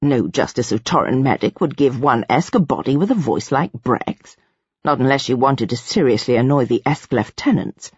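A woman voicing a deferential yet stubbornly loyal female retainer in an audiobook, quiet, tentative and slightly shaky. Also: extremely low pitch, lively intonation, takes it slowly, slightly rough, dry recording, highs cut off, pitched below the performer's own voice, heavily acted.

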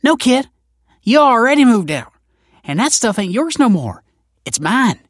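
A man's voice: very high-pitched, very animated, unhurried, dry recording, studio quality, pitched above the performer's own voice, full character voice. A man voicing a brash, belligerent, heavyset foster mother with snappish, scornful, slangy speech. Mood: angry.

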